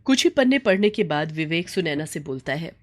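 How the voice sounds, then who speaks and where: A woman's voice: low voice, animated, fairly quick. A woman reading a scripted moral story as the storyteller.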